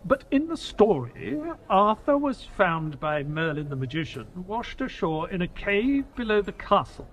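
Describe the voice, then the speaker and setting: A high-voiced, sceptical detective's sidekick. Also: male voice, measured pace, dull audio, a little hiss or hum, full character voice. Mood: exasperated, sceptical. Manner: sceptical, expressive. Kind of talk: scripted audio drama dialogue and narration.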